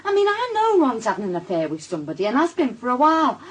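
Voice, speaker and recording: Shrewd, high-voiced Northern English wife. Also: female voice, huge pitch swings, quick pace, background noise. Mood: insistent. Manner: pointed, dry, probing. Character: shrewd, suspicious Northern wife with dry, bitter wit. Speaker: actress. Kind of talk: naturalistic radio drama dialogue.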